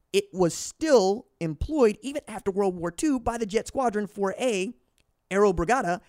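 A man's voice: high-pitched, dry recording, clean studio sound. A man hosting a podcast, reading a book aloud and adding his own commentary, often stumbling and correcting himself mid-sentence. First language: English. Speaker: podcast host.

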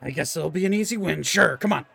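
A man's voice: a little high, lively intonation, quick pace, creaky, pushed and bright, slightly rough, heavily acted. Thargor, a man voicing a gloating brute. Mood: grumbling. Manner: gloating, sore loser. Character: brawny boastful male arm-wrestling champion.

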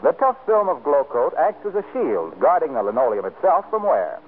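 A man's voice: a little high, big pitch swings, normal speed. A man announcing scripted commercials and credits in polished 1940s radio style. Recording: narrow-band 1940s radio recording, faint hiss.

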